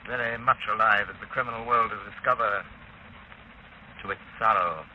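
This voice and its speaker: An actor playing a suave, self-assured gentleman crime-fighter, urbane and precise, in 1930s radio drama style. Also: on the low side, fairly even intonation, moderate pace, close to an everyday voice. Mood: confident. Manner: urbane, wry, precise, declamatory. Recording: muffled, noisy 1930s radio recording.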